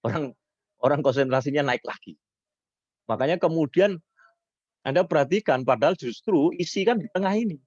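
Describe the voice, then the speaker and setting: Hoarse male lecturer. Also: expressive, moderate pace, long pauses, a little mellow, no echo. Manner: rising pitch when stressing points, mixes Javanese words. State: hoarse from illness. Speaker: public speaking lecturer. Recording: dull lo-fi sound.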